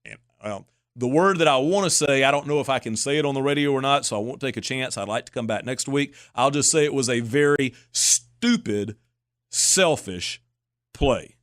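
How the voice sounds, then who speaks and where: Blunt male sports commentator, mid-pitched. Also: expressive, normal speed, fairly bright tone. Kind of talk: unscripted radio sports commentary.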